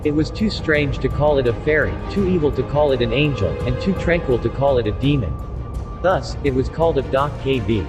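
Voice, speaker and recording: A man narrating an audio drama as an ominous storyteller, deliberate and solemn. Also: medium pitch, expressive, over music, natural voice. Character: ominous storybook narrator describing a strange creature.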